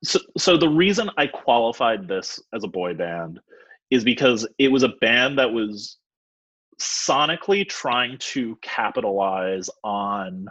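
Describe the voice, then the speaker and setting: A young man co-hosting a music podcast, talking casually with many fillers and pauses. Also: mid-range voice, expressive, fairly bright tone, slightly rough, no echo. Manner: hesitant, filler-heavy, reads out his notes. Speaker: podcast co-host. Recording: dull, dry lo-fi mic.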